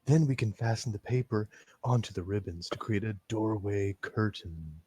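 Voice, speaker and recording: A man reading the lines of a shy, intense teenage girl fond of knives, soft and a little hesitant. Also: on the low side, normal speed, slightly rough, no echo, soft background music. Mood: dreamy. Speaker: reader in a staged reading. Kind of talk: casual read-aloud of game dialogue.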